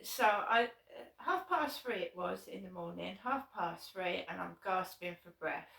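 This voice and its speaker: Hoarse woman, slow rambling talk. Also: lowish voice, fairly bright tone. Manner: rambling, repeats and restarts, frank with swearing. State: hoarse, sore throat. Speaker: vlogger. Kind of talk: casual personal vlog storytelling. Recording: echoey room, voice from a distance.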